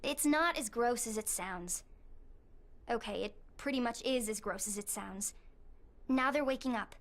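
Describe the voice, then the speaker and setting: An actress playing an anxious, eager teenage schoolgirl with frightening powers in a video game, talking informally, haltingly and earnestly. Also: a little high, lightly acted. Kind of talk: video game cutscene acting.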